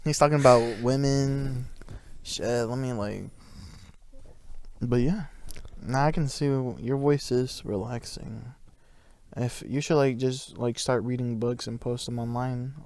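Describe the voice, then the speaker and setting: A man's voice: mid-range voice, a little slow, a little mellow. A young man co-hosting a casual podcast, playful and joking, given to goofy bits and banter. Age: young adult. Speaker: podcast co-host.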